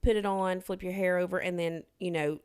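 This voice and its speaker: Animated, rambling woman. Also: lowish voice, brisk, clear, resonant tone. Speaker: podcast co-host. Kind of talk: casual chat.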